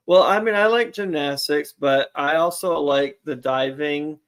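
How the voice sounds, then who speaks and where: A man's voice: a little high, a little slow, fairly bright tone. A man from New York co-hosting a casual live show, playful and teasing. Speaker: co-host.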